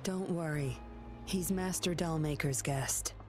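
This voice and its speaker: A woman's voice: sits low, slow, a little mellow, soft background music. An actress voicing a cool, dryly mocking young woman who escorts a captive in a game. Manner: dry, mocking.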